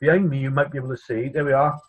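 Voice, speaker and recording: A Welsh priest in his thirties talks to schoolchildren from his study over a laptop webcam. His voice is slightly husky and sits at an ordinary pitch, and his delivery is chatty and self-deprecating, with many filler pauses. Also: fairly even intonation, phone-quality sound. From Wales. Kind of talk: school assembly talk. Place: home study, indoors. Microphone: laptop webcam on video call.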